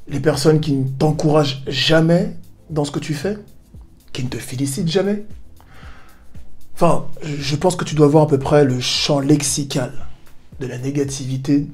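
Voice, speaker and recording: Mellow-voiced man coaching viewers. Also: a little high, moderate pace, long pauses, soft background music. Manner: casual, addresses viewer as tu, playful asides. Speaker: self-help video host. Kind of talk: direct-to-camera coaching talk. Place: home in southern Spain.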